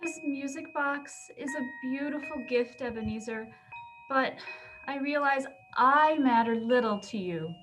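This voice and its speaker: A woman acts a gentle young Victorian fiancée, earnest and quietly resolute. She speaks slowly in a fairly high voice, sad and measured. Music plays clearly behind her. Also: poor audio. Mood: sorrowful, resolute. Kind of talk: dramatic reading of a Victorian Christmas story.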